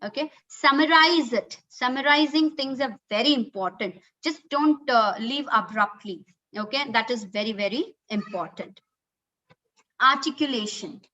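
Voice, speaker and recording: A woman's voice: on the high side, a little slow, bright, forceful tone. A woman whose first language is Kannada, speaking with an Indian English accent, giving an earnest online lecture on communication skills with many fillers like "uh" and "okay". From Karnataka, India. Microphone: computer mic on a video call. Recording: dull lo-fi webinar audio.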